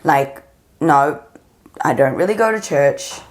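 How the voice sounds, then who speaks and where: A woman's voice: deep voice, animated, slow. A young woman, co-hosting a podcast and talking in a thoughtful, analytical way. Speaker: podcast co-host. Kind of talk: casual conversation.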